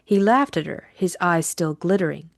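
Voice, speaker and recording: A woman reading literary fiction as an audiobook narrator, measured and deliberate in her storytelling. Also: lowish voice, expressive, gravelly, dry recording, clean studio sound.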